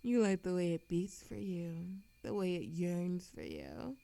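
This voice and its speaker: A woman's voice: fairly low pitch, wildly swooping pitch, a little slow, vocal fry, dark tone, full character voice. A woman acting a lovesick, world-weary immortal in a scripted romantic audio roleplay, with a dramatic, yearning delivery. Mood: tender, smitten.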